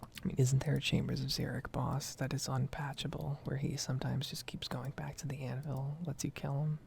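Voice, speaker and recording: A woman giving a casual, rambling gaming monologue in a soft, breathy ASMR whisper, close to the microphone. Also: extremely low pitch, flat delivery, brisk, slight background noise. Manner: casual, rambling, mild swearing.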